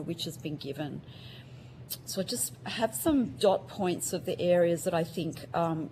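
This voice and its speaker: A middle-aged woman who grew up in Australia, giving measured formal testimony as a witness over a call, with many um fillers. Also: lowish voice, background noise. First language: Ukrainian. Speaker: witness, psychiatrist.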